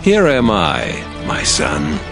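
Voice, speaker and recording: A man's voice: low-pitched, wildly swooping pitch, slow, vocal fry, over music, full character voice. An actor playing a devout, solemn patriarch and father in a dramatized Bible, grave and declamatory. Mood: devout, willing. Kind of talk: dramatized Bible audio acting.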